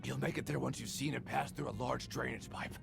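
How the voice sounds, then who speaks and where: Defeated game thug, super croaky gravelly voice, reluctant, faint music. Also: male voice, mid-range voice, fairly even intonation, a little fast, a bit bright. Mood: resigned. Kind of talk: video game voice acting.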